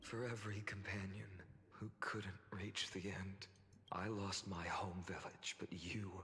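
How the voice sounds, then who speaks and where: A man playing a solemn, gentle young warrior burdened by loss in a video game, with a hushed, halting delivery. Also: low voice, flat delivery, a little hiss or hum, heavily acted. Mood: sorrowful, resolute. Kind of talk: dramatic game character dialogue. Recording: long cavernous reverb, music underneath.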